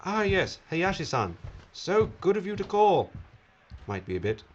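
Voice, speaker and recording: A man's voice: high voice, big pitch swings, unhurried. A man playing a pompous, grandiose bottled-water entrepreneur with a salesman's delivery in an audio drama. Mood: ingratiating. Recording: muffled with faint noise.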